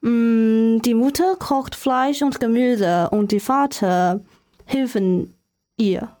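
A woman from Shanghai with a Chinese accent, a guest on a student radio show, halting and restarting words as she reads. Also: fairly high pitch, warm tone. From Shanghai, China. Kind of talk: student radio show, reading prepared answers.

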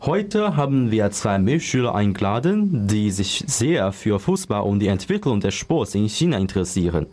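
This young man hosts a student interview, and his voice runs low but moves up and down a lot. He asks polite questions that sound read from a script. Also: sing-song, normal speed, few pauses, darkish tone. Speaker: student host. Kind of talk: moderating a school interview.

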